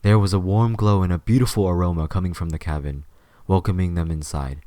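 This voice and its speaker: A man's voice: deep voice, steady tone, fairly quick, dark tone, no echo. A man reading scripted story narration as the narrator of an audio drama.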